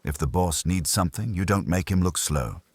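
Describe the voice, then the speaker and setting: A man playing a guarded, impassive working-class porter in an audio story, talking in terse, flat phrases. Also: sits low, normal speed, breathy edge, dry recording. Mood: quietly defensive.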